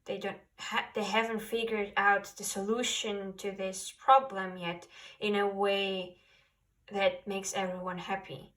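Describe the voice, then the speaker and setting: A woman tarot reader who speaks English as a second language. She talks slowly and haltingly, searching for her words as she guides listeners through a spiritual card reading. The microphone sits some distance away from her. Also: medium pitch, little pitch movement, fairly bright tone. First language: not English.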